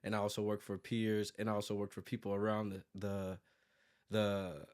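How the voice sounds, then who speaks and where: A man's voice: lowish voice, level tone, moderate pace, a little mellow, smooth. An American man in his thirties talking casually as an interview guest, rambling with lots of "like" and self-corrections. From United States, born in New York and raised in Virginia. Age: 30s. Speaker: interview guest, graphic designer and business owner.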